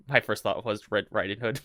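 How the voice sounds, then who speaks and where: A man's voice: lowish voice, a bit bright, no room sound, clean studio sound. A man co-hosting a casual podcast, reading out an account, stumbling over words and laughing often. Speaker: podcast co-host. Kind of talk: casual chat, reading a story.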